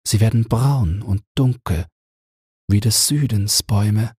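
A man voicing a gentle, grave queen of summer in a fairy-tale audiobook, speaking softly and slowly with wistful yearning. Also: lowish voice, husky, no echo, clean studio sound, pitched above the performer's own voice, heavily acted. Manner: slow, soft, yearning.

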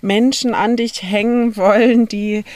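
A woman's voice: fairly high pitch, fairly even intonation, measured pace, darkish tone, no room sound. A woman hosting a casual podcast interview, listening attentively and asking questions. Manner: attentive, with many short agreeing sounds. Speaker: podcast host.

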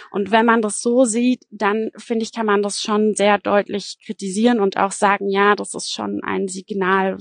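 A woman's voice: mid-range voice, gravelly, no room sound. A woman from Germany, a journalist giving conversational, explanatory commentary in an interview.

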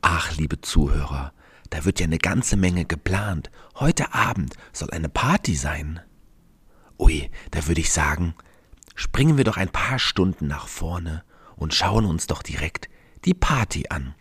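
A man with a husky, rough voice narrating a children's radio play, addressing listeners in a chatty storyteller way. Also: sits low, animated, unhurried, fairly bright tone.

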